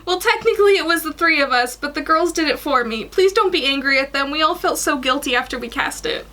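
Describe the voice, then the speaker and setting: Woman reading as flustered, high-voiced teenage boy wizard. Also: expressive, fairly quick, pushed and bright. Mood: confessing. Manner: pleading, protesting.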